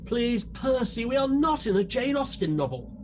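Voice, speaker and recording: A performer playing a vain gentleman detective in a comedy radio play, pompous, dry and self-important. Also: medium pitch, big pitch swings, moderate pace, a little hiss or hum, natural voice. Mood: pompous deduction.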